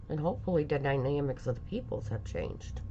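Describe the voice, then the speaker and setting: A middle-aged woman talking casually in a personal vlog, halting and rambling, stuffy from a lingering cold. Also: sits low, sing-song, dark tone, muffled recording, slight background noise. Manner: halting, rambling, many pauses and fillers. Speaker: vlogger.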